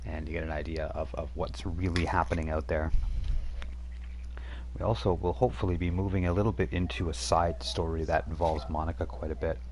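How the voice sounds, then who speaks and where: A deep-voiced man hosts a live tabletop game night, chatting in a laid-back way. He laughs easily and sounds relaxed. A faint hiss sits under his voice. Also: fairly quick. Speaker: game master and stream host. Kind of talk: casual chat on a live stream.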